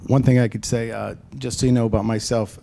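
A man's voice: mid-range voice, level tone, quick pace, gravelly, warm tone. A man speaking as a panelist at a community event, with wry, self-deprecating humor. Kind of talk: panel discussion answer. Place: auditorium stage panel.

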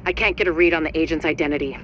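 A woman's voice: low-pitched, steady tone, rapid-fire, a bit bright, highs cut off. Female agent handler, earnest, troubled, video game radio briefing, noisy background. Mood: focused.

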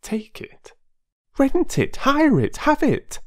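A reader voicing an impetuous, excitable middle-aged woman who pleads in an eager rush. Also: high-pitched, takes it slowly, pitched above the performer's own voice, full character voice. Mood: eager pleading. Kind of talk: audiobook character voice.

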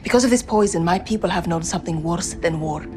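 A woman's voice: fairly low pitch, fast talker, natural voice. An actress playing a fierce young female rebel commander, firm and solemn, in game drama. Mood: defiant, intense. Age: young adult. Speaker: actor in a military game cutscene. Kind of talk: cinematic dramatic game dialogue.

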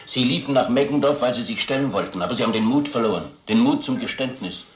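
An actor playing a stern police investigator in a crime radio drama, deliberate and authoritative. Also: male voice, mid-range voice, roomy sound, lightly acted. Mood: pressing. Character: stern, accusing male police investigator pressing for confession.

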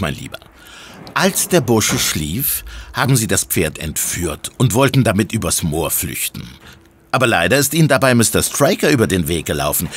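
Police inspector: low, very animated delivery, smug and accusatory, audio drama interrogation over faint noise. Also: male voice. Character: triumphant, hectoring male police inspector interrogating suspect.

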